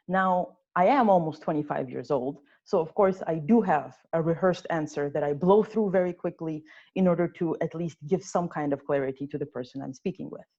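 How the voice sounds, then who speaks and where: A woman's voice: lowish voice, mellow. A woman in her twenties giving a prepared personal speech at a club meeting, earnest with touches of dry humor. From born in the United States, grew up in Ukraine, Austria and Russia. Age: 20s. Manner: earnest, lightly humorous storytelling. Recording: dull lo-fi sound.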